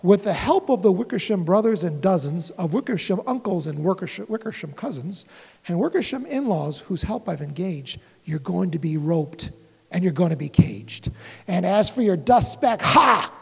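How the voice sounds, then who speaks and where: A woman's voice: on the low side, lively intonation, moderate pace, vocal fry, husky, pitched below the performer's own voice, heavily acted. A woman voicing a big, bossy female kangaroo who snaps with scorn. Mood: threatening. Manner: scornful, snapping. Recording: echoey room with long reverb.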